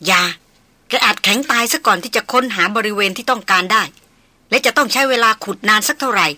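A woman's voice: a little high, brisk, sharp tone, no echo. A woman voicing a seasoned male big-game hunter who gives firm commands. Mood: stern.

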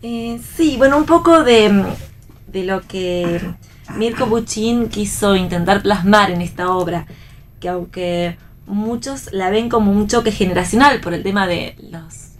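A woman's voice: medium pitch, measured pace, a little hiss or hum. A woman with an Argentine accent giving conversational commentary on a radio programme.

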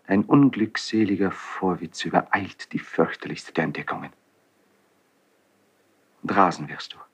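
An actor playing an anguished, bitter young crown prince in a classical German radio drama, theatrical and impassioned, with a husky, breathy voice. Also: male voice, very deep voice, full character voice. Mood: brooding despair. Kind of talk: 1970s German radio play, classical stage acting.

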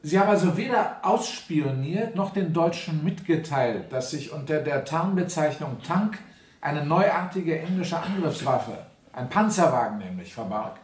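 A sardonic general presiding over a military court, with a fairly high male voice. He speaks formally and commandingly, in stern radio drama style. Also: animated, a little slow. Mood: interrogating. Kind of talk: German radio play acting.

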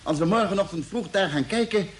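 A man's voice: sits high, a little fast, natural voice. An actor playing a sceptical, authoritative space crewman with a precise, matter-of-fact delivery in mid-century radio drama style. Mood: businesslike. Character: Mitch, sceptical, authoritative male space engineer. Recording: noisy old radio play recording.

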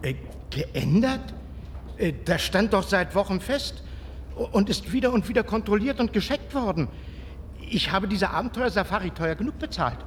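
A man's voice: sits high, lively intonation, normal speed, slight background noise. An actor playing an insistent, middle-aged husband and father who stammers when flustered, in a German science-fiction radio drama. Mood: indignant.

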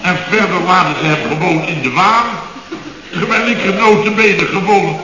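A man's voice: high-pitched, normal speed, bright, forceful tone, slight background noise. An actor playing an indignant middle-aged company boss in a radio comedy, sarcastic and sputtering as he repeats himself. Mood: flustered. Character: blustering, indignant middle-aged Dutch building contractor boss. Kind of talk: 1970s radio comedy acting. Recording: dull, echoey old radio recording.

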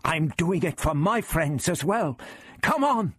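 A man voicing a brave, determined woman adventurer in a storybook reading, brisk and forceful. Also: fairly high pitch, breathy edge, no room sound, clean studio sound, voice pushed up.

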